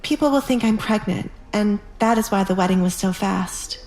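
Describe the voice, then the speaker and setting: A woman's voice: medium pitch, normal speed, darkish tone, echoey room, voice pushed up, natural voice. A woman voicing a cold, resolute young noblewoman and wounded daughter in an audio drama, cool and firm. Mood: hesitant, resolved. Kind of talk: audio drama narration with acted dialogue.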